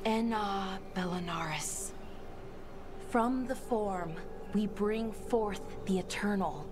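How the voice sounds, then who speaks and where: A woman's voice: medium pitch, takes it slowly, lightly acted. Earnest woman actress over prominent music. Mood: solemn, grieving. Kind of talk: video game voice acting.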